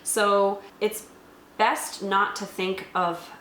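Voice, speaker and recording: A woman's voice: medium pitch, fairly even intonation, drawn-out words, pushed and bright. A woman co-hosting a review, explaining things in a conversational way. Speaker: co-host of a tabletop game review. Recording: roomy echo, faint background noise.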